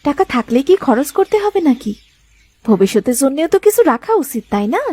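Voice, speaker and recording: A woman's voice: sits high, very animated, fairly quick, warm tone, husky, heavily acted. A woman voicing a thrifty, doubting village wife in a cartoon folk tale, fretful and questioning. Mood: reproachful, thrifty. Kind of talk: cartoon moral-tale dialogue.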